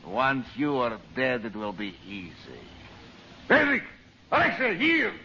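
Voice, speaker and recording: An actor playing a megalomaniac villain bent on ruling the world, grand and sneering, in old-time radio drama style. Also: male voice, mid-range voice, huge pitch swings, a little slow, pushed and bright, heavily acted. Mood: furious. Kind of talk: 1940s radio acting. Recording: dull old radio broadcast with hiss.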